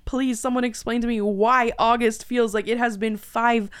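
A woman hosting a podcast in a casual, animated, self-deprecating way with salty language. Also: a little high, moderate pace, clear, resonant tone. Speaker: podcast host. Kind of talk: casual chatty podcast banter.

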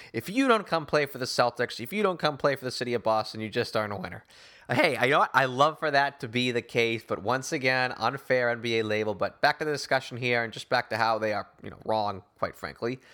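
A man with a mid-pitched voice hosts a basketball talk show. He argues his opinions emphatically, rambling from point to point and slipping in sarcastic asides. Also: fairly bright tone. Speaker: sports talk show host.

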